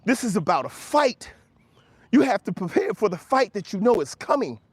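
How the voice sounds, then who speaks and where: A man's voice: high voice, big pitch swings, normal speed, breathy edge, no echo. An American man in his fifties giving a motivational monologue, emphatic and preacherly, with repeated phrases and rhetorical questions. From Washington, D.C., United States. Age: 50s. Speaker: host of a motivational talk show.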